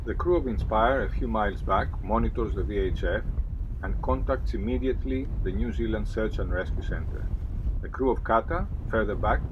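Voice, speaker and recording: Man doing vlog voiceover, lowish voice, muffled sound, wind in the background. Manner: measured, dramatic. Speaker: vlog narrator. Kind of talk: scripted narration.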